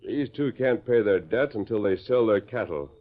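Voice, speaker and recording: Actor as commanding low-voiced masked cowboy hero, old radio. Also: male voice, expressive, natural voice. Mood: stern, reasoning. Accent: American. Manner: authoritative, measured, declarative. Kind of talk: 1940s radio western acting. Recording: muffled, narrow-band 1940s radio recording.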